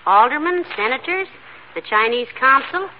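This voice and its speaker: A woman playing a gracious, cheerful, gently teasing wife with genteel, gushing politeness in an old radio comedy. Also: high-pitched, animated, normal speed. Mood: delighted. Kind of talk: 1940s radio comedy acting. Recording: tinny noisy old radio, audience behind.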